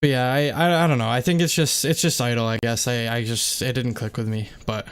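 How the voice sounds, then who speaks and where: A man's voice: mid-range voice, fairly even intonation, a little fast, smooth. A man co-hosting a casual music review podcast, talking hesitantly and hedging his opinions. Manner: hesitant, hedging, full of "I think" and "like". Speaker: podcast co-host.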